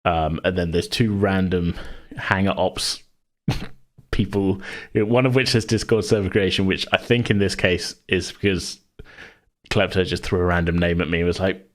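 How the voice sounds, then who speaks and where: A man's voice: low-pitched, fairly even intonation, moderate pace. A man who hosts a podcast and runs games, chatting casually and rambling as he thinks aloud. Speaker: podcast host and game master. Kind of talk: casual chat.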